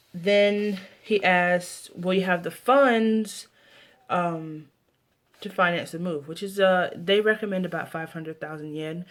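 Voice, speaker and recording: A woman in her twenties with an American accent, chatting casually as a video blogger, rambling and often breaking off mid-thought to restart. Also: fairly low pitch. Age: 20s. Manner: rambling, self-interrupting, trailing off. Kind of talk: casual vlog chat.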